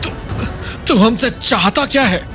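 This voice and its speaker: A man voicing a rough, confrontational street goon in a Hindi audio story. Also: very high voice, unhurried, music in the background, voice pushed up. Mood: rattled. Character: aggressive street goon, blustering then cowed.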